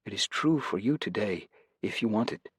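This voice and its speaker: A man voicing a calm, fatherly, devout interrogator who speaks reassuringly. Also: lowish voice, normal speed, breathy edge, dry recording, clean studio sound, pitched above the performer's own voice. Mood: earnest.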